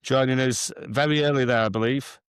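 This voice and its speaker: A man's voice: mid-range voice, steady tone, a little fast, clean tone, dry recording, clean studio sound. A man co-hosting a podcast, chatting casually and hesitantly, often repeating his words. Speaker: podcast co-host.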